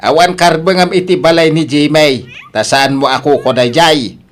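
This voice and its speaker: A man's voice: fairly high pitch, normal speed, close to an everyday voice. A man playing a blunt, argumentative older uncle in an Ilocano audio drama, with a Filipino accent. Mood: disapproving. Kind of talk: radio drama acting.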